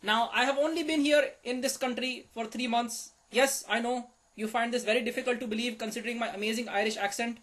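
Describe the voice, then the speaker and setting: A man playing a pompous, boastful Indian village economist with an Indian English accent, delivering a deadpan comic monologue in stiff, formal phrasing. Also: very high voice, a little fast, few pauses. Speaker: comic show host.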